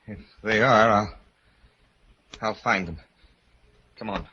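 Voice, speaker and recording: An actor playing an uneasy, skeptical husband in a haunted-house mystery, in 1940s radio drama style. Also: male voice, lowish voice, slow, pushed and bright, slightly rough, dull audio, full character voice. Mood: tense, alarmed. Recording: noisy, band-limited old radio recording.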